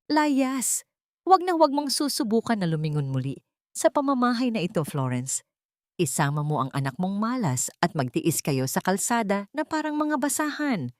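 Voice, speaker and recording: A woman's voice: medium pitch, very animated, moderate pace, no echo, studio quality, natural voice. A woman voicing a haughty, cruel, rich older mother-in-law, scornful and imperious, in a dramatic story. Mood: scornful, furious.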